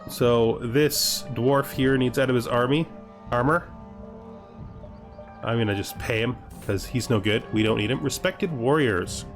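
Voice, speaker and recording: A man's voice: mid-range voice, measured pace, soft background music. A man giving casual gameplay commentary, reading game text aloud and reacting with dry, offhand humour. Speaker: gaming video host.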